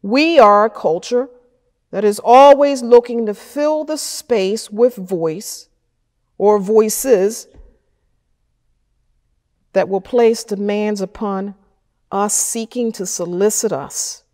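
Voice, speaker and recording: Slow, measured woman preaching in a church. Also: mid-range voice, a little mellow. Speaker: pastor. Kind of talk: sermon. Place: wood-paneled church chancel, at a lectern. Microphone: fixed camera at a distance. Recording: church with a long reverb tail.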